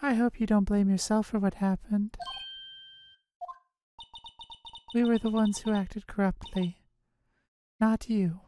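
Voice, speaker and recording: A woman's voice: medium pitch, steady tone, moderate pace, warm tone, a little hiss or hum, natural voice. A woman voicing a composed, earnest female chief prosecutor in a fan dub of a video game. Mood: earnest, reassuring. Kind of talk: fan voice acting of a visual novel game.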